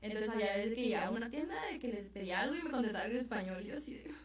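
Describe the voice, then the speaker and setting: A woman's voice: fairly high pitch, rapid-fire, recorded from a distance, crowd noise. Chatty young woman, echoey. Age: young adult. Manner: chatty, lots of fillers. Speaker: student guest. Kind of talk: radio interview.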